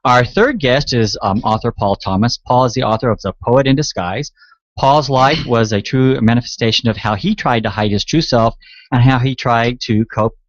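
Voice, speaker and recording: Male radio host, upbeat and teasing, mid-pitched, dry and slightly dull studio sound. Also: dry recording. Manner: upbeat, encouraging, jokey. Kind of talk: casual radio chat.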